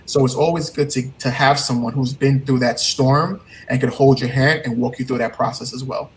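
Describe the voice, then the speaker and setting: A man's voice: medium pitch, steady tone, normal speed, slight background noise, low-quality recording. A man with a Trinidadian accent, a heartbreak coach speaking as a guest in an earnest, motivational way, often repeating his key points. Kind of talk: casual interview talk.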